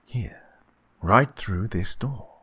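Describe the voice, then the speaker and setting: A man's voice: fairly low pitch, animated, takes it slowly, sharp tone, slight background noise, full character voice. A man acting a suave, gloating murderous employer in a horror radio play, with oily politeness and fussy, menacing repetition. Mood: eager, oily. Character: suave, gloating male employer who murders his housekeeper.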